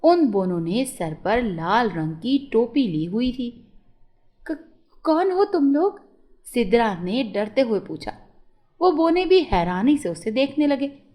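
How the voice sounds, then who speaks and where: High-pitched woman storyteller. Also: animated, measured pace, dark tone. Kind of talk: scripted children's moral story narration.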